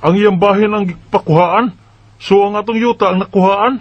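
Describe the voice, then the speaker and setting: A man's voice: high-pitched, steady tone, moderate pace, lo-fi sound. A man with a Bisaya accent playing an indignant young farm son in a radio drama, protesting emphatically. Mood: aggrieved. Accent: Filipino Bisaya. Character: indignant grown son of a rural landowning family.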